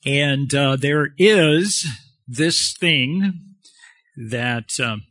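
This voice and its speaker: A man preaching a sermon in a halting, conversational way, pausing often. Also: medium pitch, fairly bright tone, no echo, clean studio sound.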